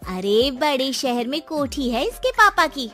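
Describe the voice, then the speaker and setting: A scheming young woman, acted by a woman with a very high voice and slow, coaxing pace. She speaks dramatically and insinuatingly, as in a moral-story drama, over faint music. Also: big pitch swings, sharp tone, full character voice. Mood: feigned sweetness. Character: scheming, greedy young woman sowing family discord.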